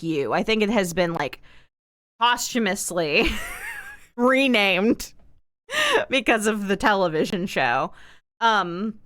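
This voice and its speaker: A woman livestreamer chats off the cuff with a wry delivery and a very lively, swooping pitch. She stretches and repeats words for exaggerated emphasis. The sound is dry, with very little room in it. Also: no room sound. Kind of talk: casual rambling chat to stream viewers.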